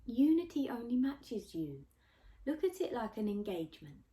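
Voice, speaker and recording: A woman voicing a firm, fond older nanny in a storybook, with a brisk, coaxing, gently teasing manner. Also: on the high side, big pitch swings, pitched above the performer's own voice.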